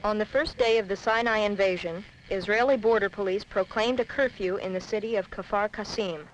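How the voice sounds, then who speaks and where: A woman's voice: medium pitch, moderate pace, highs cut off, slight background noise. A woman reading scripted political narration on a 1970s documentary film soundtrack.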